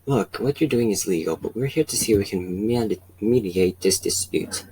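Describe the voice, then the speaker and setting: A man voicing a calm, reasonable male police officer in a measured tone. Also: on the low side, a little hiss or hum, natural voice, low-quality recording.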